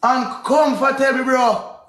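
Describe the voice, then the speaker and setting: A young Jamaican man with a high voice banters on a livestream. He talks emphatically and repeats his points, and the room around him sounds echoey. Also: takes it slowly. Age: 20s. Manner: animated, emphatic, repeats himself. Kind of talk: casual bantering livestream chat. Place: indoors in a home living room. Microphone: phone or webcam close in front, split-screen live call.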